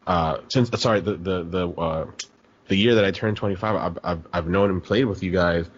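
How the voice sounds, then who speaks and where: A man's voice: low voice, normal speed, slightly rough. A man in his late twenties co-hosting a casual gaming podcast, talking reflectively and stumbling over his words. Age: 20s. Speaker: podcast co-host. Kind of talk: casual chat about video games. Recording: dull lo-fi audio with faint noise.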